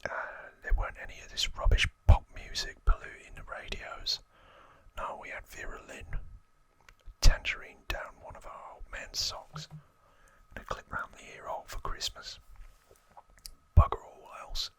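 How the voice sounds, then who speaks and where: A man's voice: normal speed, pushed and bright, natural voice, rough recording. A man voicing a boastful, threatening London gangster twin in a put-on Cockney accent, whispering his slangy lines from a game manual. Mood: disgusted, nostalgic. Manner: threatening, slangy, self-important.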